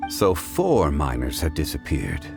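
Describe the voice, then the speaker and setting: A man voicing a calm, erudite gentleman in a video game, formal, composed and deliberate. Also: extremely low pitch, gravelly, music in the background, full character voice. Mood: pensive.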